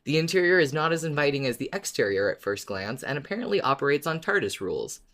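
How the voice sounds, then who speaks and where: A man's voice: on the high side, very animated, fast, fairly bright tone. A man co-hosting a podcast, chatting casually and giving recaps of a story. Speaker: podcast co-host.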